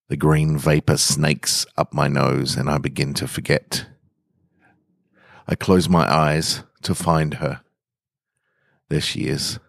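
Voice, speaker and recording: A man's voice: a bass voice, no echo, studio quality. A man hosting a podcast, talking informally and reading short literary stories aloud as narrator.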